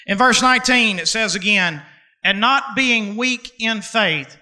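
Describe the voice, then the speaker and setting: Male pastor, 30s, folksy sermon delivery, very high and piercingly bright voice. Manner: folksy and conversational, slips into dialect; preaching cadence with drawn-out emphasis.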